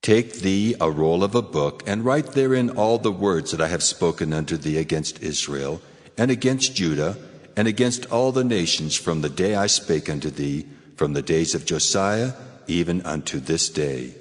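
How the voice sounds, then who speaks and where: A man's voice: low-pitched, lively intonation, moderate pace. A man playing the solemn, commanding voice of the Lord in a dramatized audio Bible. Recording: long cavernous reverb on the voice.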